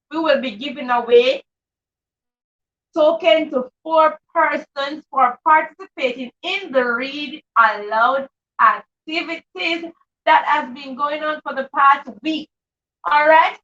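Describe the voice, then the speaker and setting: An enthusiastic woman hosts a live show for children in a high, bright voice. She greets viewers and repeats her phrases for emphasis. The sound is dull and distant from the microphone. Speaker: host of children's live read-aloud show. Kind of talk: livestream chat, greeting viewers.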